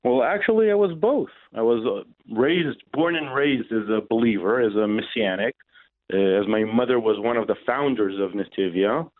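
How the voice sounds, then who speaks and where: A man's voice: medium pitch, no room sound. Israeli man on a tinny phone line. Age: 40s. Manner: hesitant, many pauses and "uh" fillers. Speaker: radio interview guest, ministry leader. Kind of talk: casual radio interview answers.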